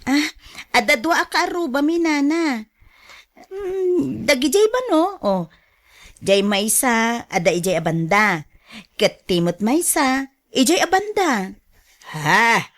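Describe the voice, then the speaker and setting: A woman's voice: high-pitched, big pitch swings, slow pace, long pauses, fairly bright tone, full character voice. A woman playing a polite young rural girlfriend in a radio drama, deferential and apologetic as she hosts her elders. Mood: eager, polite. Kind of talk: Ilocano radio drama acting.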